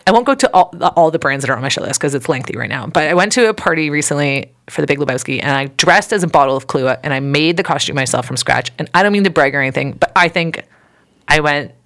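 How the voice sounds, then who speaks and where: A woman's voice: low voice, expressive, fast, a bit bright. A woman co-hosting a casual podcast, chatty and opinionated, often laughing between thoughts. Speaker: podcast co-host. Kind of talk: casual chat.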